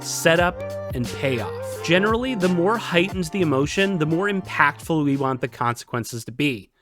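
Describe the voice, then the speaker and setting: Animated higher-voiced man presenting an explainer. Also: normal speed. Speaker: video essay presenter teaching game writing. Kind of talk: scripted explainer narration. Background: background music.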